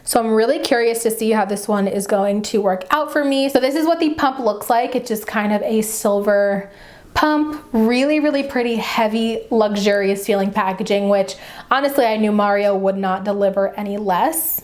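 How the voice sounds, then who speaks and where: A woman beauty vlogger chatting casually and enthusiastically to camera, with a slightly dry, raspy voice from getting over the flu. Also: mid-range voice, normal speed, darkish tone. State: getting over the flu, mild sore throat. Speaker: beauty vlogger, channel host.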